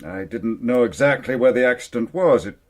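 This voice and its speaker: An actor playing a haughty, indignant titled gentleman in a radio drama, with an imperious, blustering manner. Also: medium pitch, brisk, lightly acted. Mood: haughty, irritated. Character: indignant, haughty titled male murder suspect.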